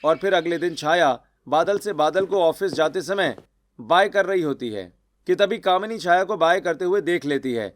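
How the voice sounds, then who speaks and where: A man's voice: on the high side, moderate pace, slight background noise. A man narrating a scripted cartoon story.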